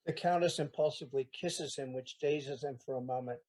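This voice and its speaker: A man's voice: medium pitch, fairly quick, darkish tone. A man reading out stage directions as narrator of an audio play, plain and matter-of-fact.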